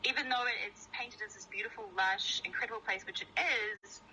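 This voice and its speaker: A woman's voice: medium pitch, a little fast, sharp tone, slight background noise, poor audio. A woman with a New Zealand accent, a company co-founder, chatting in an interview over a video call in a friendly, self-deprecating way with many fillers. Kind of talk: casual interview.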